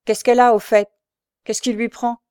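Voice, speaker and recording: A woman's voice: a little high, flat delivery, normal speed, a little mellow, clean tone, no echo, clean studio sound, pitched above the performer's own voice, close to an everyday voice. Woman acting a swaggering, domineering young brute. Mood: menacing. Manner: curt, domineering, mocking slangy speech. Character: swaggering, bullying elder son, lazy handsome brute.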